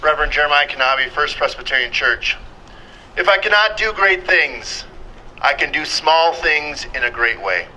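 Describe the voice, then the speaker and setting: A man giving a measured reading of a quotation as a community reader. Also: a little high, lively intonation, bright, forceful tone, a little hiss or hum, rough recording.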